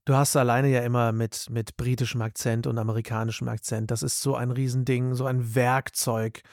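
A man's voice: mid-range voice, flat delivery, a little fast, vocal fry, darkish tone, no echo, clean studio sound. A male voice actor and dubbing director in a casual interview, speaking animatedly and thinking aloud with plenty of fillers.

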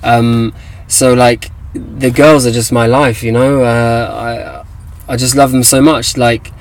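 A man's voice: medium pitch, fairly even intonation, slow pace, rough recording. A young man with an English accent, a guest in an interview, talking casually with many fillers and restarts. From England. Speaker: guest, activist.

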